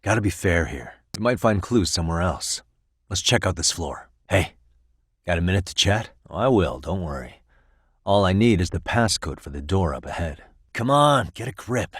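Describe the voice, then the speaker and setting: A deep-voiced American man a streetwise, principled detective in a video game. He talks casually with a wry, determined edge, and the sound is dry and close. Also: expressive, moderate pace, fairly bright tone, slightly rough, no room sound, full character voice. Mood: wry, cool. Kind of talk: video game voice acting, naturalistic dialogue.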